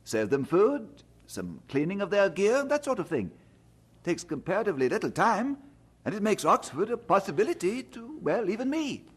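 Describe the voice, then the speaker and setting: An actor playing a polite, modest young English student in an audio drama, explaining himself courteously. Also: male voice, high-pitched, very animated, moderate pace, husky, full character voice. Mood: polite, explanatory. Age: young adult.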